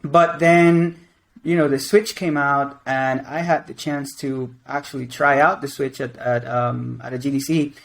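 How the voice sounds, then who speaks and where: A man's voice: mid-range voice, fairly even intonation, unhurried, gravelly. A man in his twenties from Venezuela and Mexico, a game creative director answering interview questions in a rambling, casual way. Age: 20s. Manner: rambling, many restarts and fillers.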